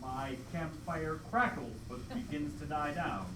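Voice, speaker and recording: A man's voice: a little high, a little slow, close to an everyday voice. A man playing a heroic adventurer who narrates his dragon quest as a solemn, melodramatic old-time radio play. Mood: brave, dramatic. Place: live theatre stage with audience. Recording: echoey live hall, distant mic, noisy.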